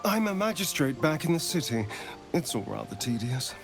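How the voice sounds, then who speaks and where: Game nobleman, drawling, lively pitch, slow, music behind. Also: vocal fry. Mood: aloof. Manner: drawling, dismissive, theatrical. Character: sly, aloof male noble of the city. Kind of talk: video game cutscene dialogue.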